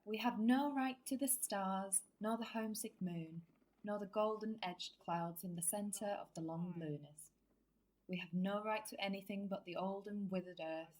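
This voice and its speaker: A woman's voice: mid-range voice, moderate pace, darkish tone, lightly acted, poor audio. A woman acting a curious, cultured wartime comrade in a radio drama, with an inquisitive, conversational manner. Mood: admiring. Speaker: actor in a student radio drama.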